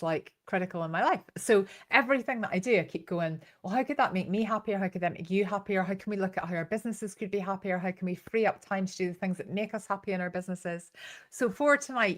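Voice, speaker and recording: A woman with a Northern Irish accent giving an informal live training talk as host, chatty and given to storytelling asides. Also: mid-range voice. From Northern Ireland.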